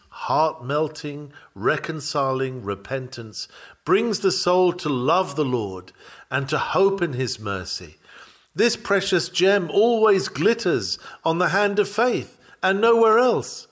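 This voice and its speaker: A man reading a sermon aloud in a measured, solemn and formal manner. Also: fairly high pitch, a bit bright. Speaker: sermon reader. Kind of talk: read-aloud sermon narration.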